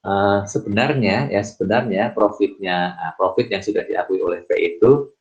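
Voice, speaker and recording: Male lecturer, low register, halting explanatory delivery with repeated words, dull lo-fi sound. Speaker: accounting lecturer. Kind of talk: explanatory lecture with worked examples. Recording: dull lo-fi voice recording.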